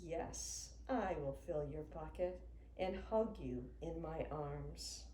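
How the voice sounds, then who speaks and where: A woman in her sixties, a children's author, reading a picture book aloud to young children in an expressive, sing-song way. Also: sits low, slow pace. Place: indoors in a home room with a wooden desk. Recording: roomy sound with faint noise.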